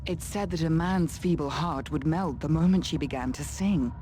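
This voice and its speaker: An actress playing a proud, fierce woman warrior in a fantasy video game, with a dry, measured manner. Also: low-pitched, dark tone, close to an everyday voice. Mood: wry. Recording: clear background noise.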